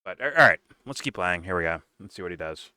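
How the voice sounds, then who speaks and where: A man in his twenties hosting a casual reaction show, talking in an animated way, swearing and laughing often. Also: low-pitched, fast. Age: 20s.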